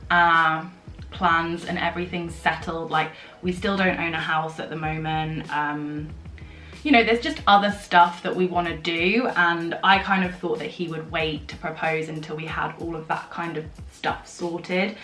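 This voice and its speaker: A young woman, slightly husky from a sore throat, chatting and rambling through a get-ready-with-me vlog with many fillers. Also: lowish voice, fairly bright tone. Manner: rambling, filler-heavy storytelling. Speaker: beauty vlogger. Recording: echoey room with faint music.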